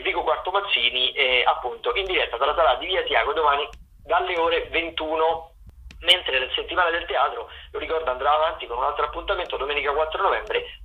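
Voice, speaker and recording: Phone-like, higher-voiced male radio host. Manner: halting, improvised delivery with drawn-out words and self-corrections. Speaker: radio host presenting theatre listings. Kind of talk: unscripted radio programme rundown of upcoming shows. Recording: tinny, phone-like radio sound.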